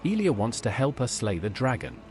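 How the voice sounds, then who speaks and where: A man's voice: medium pitch, sing-song, brisk, darkish tone, slight background noise, natural voice. A man voicing a direct, inquiring adventurer hero in scripted video game dialogue. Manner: direct, questioning.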